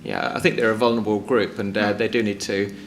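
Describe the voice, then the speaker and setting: A board member at a formal meeting asking a question, hesitant with many fillers. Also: male voice, lowish voice, flat delivery, quick pace, slight background noise.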